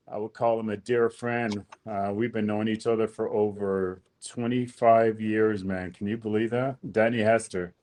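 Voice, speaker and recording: A man's voice: lowish voice, darkish tone. A man hosting a podcast interview, laid-back and easily amused, dropping dude and bro. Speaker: podcast host. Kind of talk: casual interview chat.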